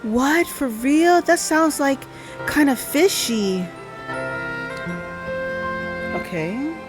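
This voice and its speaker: A woman's voice: high-pitched, lively intonation, takes it slowly. A woman livestreaming a game in a casual, chatty way, thinking aloud and reading on-screen text. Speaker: live game streamer. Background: music.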